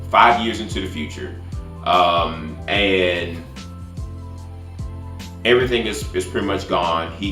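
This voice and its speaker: A man giving a casual spoiler-filled movie review as a YouTuber, haltingly, often repeating and restarting his phrases. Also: sits low, a bit bright, reverberant.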